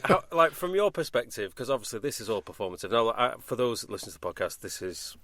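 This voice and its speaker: A man's voice: mid-range voice, big pitch swings, fast talker, fairly bright tone, dry recording, studio quality. A man hosting a casual podcast, talking in a discursive way and often interrupting himself. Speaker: podcast host, voice actor.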